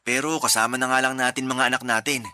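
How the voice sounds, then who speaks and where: Jealous caring young husband, radio drama, very bright tone, calm and gentle. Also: male voice, medium pitch, quick pace. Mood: tender. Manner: calm, protective, gently persuasive.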